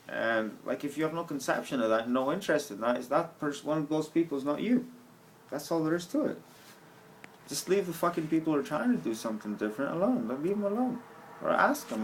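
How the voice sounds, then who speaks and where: A man's voice: medium pitch, a little hiss or hum, poor audio. A young man with a slight Scottish-tinged accent, rambling profanely to his camera in a stream-of-consciousness monologue, often laughing at himself, at an outdoor bus stop. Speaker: vlogger. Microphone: camera mic.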